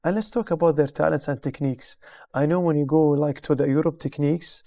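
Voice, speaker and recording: Hesitant man, mellow tone. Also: on the high side, fairly even intonation, fairly quick, creaky. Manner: hesitant, repeats words. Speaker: co-host. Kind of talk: casual chat on a TV show.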